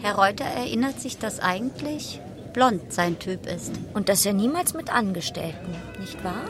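An actress narrating a German radio play in a clipped, dry, ironic manner. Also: female voice, medium pitch, expressive, normal speed, lightly acted. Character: wry, ironic female narrator. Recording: music behind the voice.

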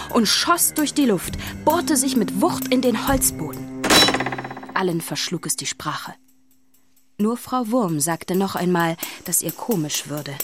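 A woman's voice: medium pitch, natural voice. A woman playing a storyteller who witnessed a haunting, narrating a children's radio play with suspense. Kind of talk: scripted dramatic narration for children. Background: music.

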